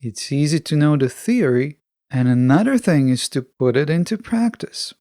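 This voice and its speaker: A man hosting a podcast, delivering a scripted solo monologue in a measured, instructional way, speaking straight to listeners. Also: fairly high pitch, dark tone, no echo, studio quality. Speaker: podcast host.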